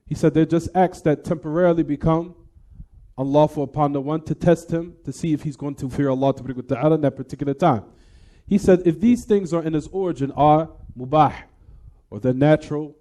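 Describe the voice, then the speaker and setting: A man's voice: on the high side, warm tone. A man giving a religious lecture, explaining a text in a didactic way and posing rhetorical questions to his listeners.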